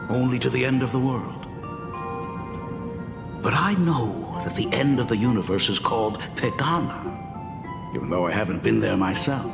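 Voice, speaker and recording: An actor voicing a gentle, playful father in a video game, telling stories fondly and indulgently to his child. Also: medium pitch, vocal fry. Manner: warm, playful, indulgent storyteller. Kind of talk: dramatic video game voice acting. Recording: long reverb, music under the voice. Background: music.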